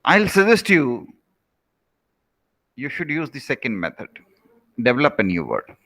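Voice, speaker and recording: An earnest Indian man delivers a lecture in English, his first language being Rajasthani. His voice is bright and moves up and down a lot in pitch, and he urges his listeners by repeating his points. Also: huge pitch swings, unhurried. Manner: earnest, repeats key phrases, pleading. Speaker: professor giving a lecture. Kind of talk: recorded lecture to students.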